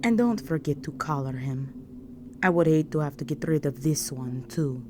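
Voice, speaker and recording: A woman voicing an imperious, seductive vampire countess in a scripted audio roleplay, poised, commanding and softly menacing. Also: sits low, moderate pace, noisy background, lightly acted. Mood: cold, menacing.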